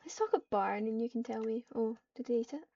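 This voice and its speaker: A woman's voice: on the high side, a little fast, dark tone. A woman casually voicing online game roleplay, reading out narration and dialogue lines. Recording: dull mic, distant, clear background noise.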